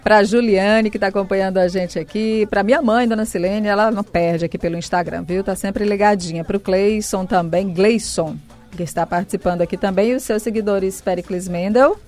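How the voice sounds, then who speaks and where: Brazilian woman hosting, mid-pitched voice. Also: fairly even intonation, a little fast, a little mellow, faint music behind. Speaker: radio show host. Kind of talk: casual live interview. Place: radio studio.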